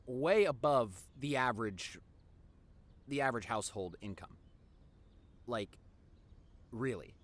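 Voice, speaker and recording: Casting: male actor as devoted nerdy young boyfriend for audio roleplay; mid-range voice, lively intonation, earnest and teasing. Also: medium pitch, a little slow, long pauses. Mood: earnest, admiring.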